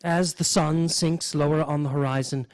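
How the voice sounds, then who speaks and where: A man hosting a radio show, reading mock nature-documentary narration in a deadpan, solemn way. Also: a little high, normal speed, dry recording. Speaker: host of a live variety radio show.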